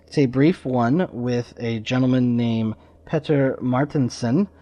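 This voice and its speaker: A man's voice: medium pitch, unhurried, mellow. A man hosting a podcast, giving casual introductions in an informal, slightly rambling way. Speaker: podcast host. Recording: slightly dull lo-fi audio.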